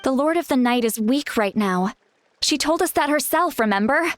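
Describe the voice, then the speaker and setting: High-voiced earnest young seer. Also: female voice, lively intonation, moderate pace, a little hiss or hum. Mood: grave. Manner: urgent, explaining, hesitant asides. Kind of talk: English video game voice acting. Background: faint game music.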